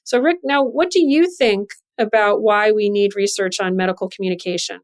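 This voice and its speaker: A woman's voice: mid-range voice, normal speed. A woman hosting a podcast interview, introducing guests and putting questions to them. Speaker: podcast host and journal editor.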